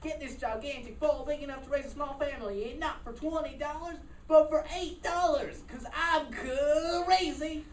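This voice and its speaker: A crazed discount-store salesman played by a woman delivers a pushy commercial parody in a high voice. The recording is distant and roomy, with some noise. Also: moderate pace, hardly any pauses, natural voice. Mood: manic, pushy. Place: staged reading in a room. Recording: echoey, noisy room, distant mic.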